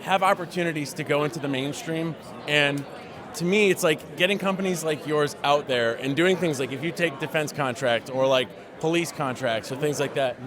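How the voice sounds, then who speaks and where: Guy host chatting at a busy convention, slightly high voice, slangy and halting, crowd all around. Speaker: interviewer for a firearms show. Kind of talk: casual chat interview. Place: trade show floor. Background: loud crowd chatter.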